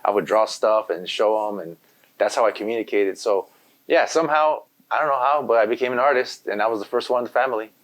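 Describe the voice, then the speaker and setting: A man's voice: fairly low pitch, fast talker. Laid-back man, native Spanish speaker. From Los Angeles. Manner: laid-back, rambling, full of "you know". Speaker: graffiti artist guest. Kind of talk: casual interview chat.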